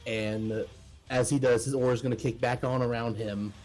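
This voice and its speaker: A man's voice: mid-range voice, fairly even intonation, normal speed, darkish tone, low-quality recording. A man playing a tabletop role-playing game, talking informally and thinking aloud as he describes his moves. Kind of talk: casual game-table talk. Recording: faint music behind the voice.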